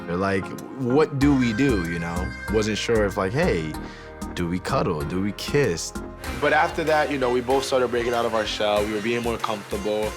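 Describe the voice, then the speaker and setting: A young man with a low voice tells his story in a casual, slangy way. He grew up between the Dominican Republic and New York. Music plays clearly behind him. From born in the Dominican Republic, in New York from age eight. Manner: informal and slangy, with frequent "like" and "you know". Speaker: reality show participant, school safety officer and club DJ. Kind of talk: casual personal storytelling to camera. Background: background music.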